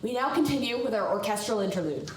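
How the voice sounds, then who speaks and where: A woman playing a formal, brisk 1930s radio announcer reading news bulletins in a radio play. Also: on the high side, animated, natural voice. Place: live stage performance in a hall. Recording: very echoey hall, distant, faint music.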